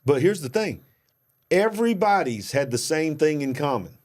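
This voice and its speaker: A man's voice: a little high, big pitch swings, moderate pace, darkish tone. An American man hosting a casual reaction talk show, emphatic and joking with a preacherly edge. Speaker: host.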